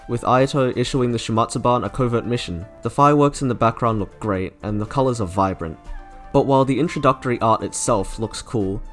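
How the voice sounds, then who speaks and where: A man narrating a scripted video game review as an online reviewer. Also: mid-range voice. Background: faint music.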